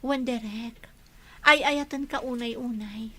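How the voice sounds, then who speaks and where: A woman's voice: a little high, takes it slowly, a bit bright. A woman with a Filipino accent playing a headstrong, lovestruck young village woman in a radio drama. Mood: lovesick, pleading. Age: young adult.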